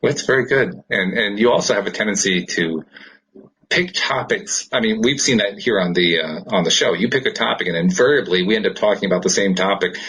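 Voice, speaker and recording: A man hosting a casual podcast conversation in an upbeat, enthusiastic manner, with a husky, rough-edged voice. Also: on the low side, fairly quick, a bit bright, rough recording. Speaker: podcast host.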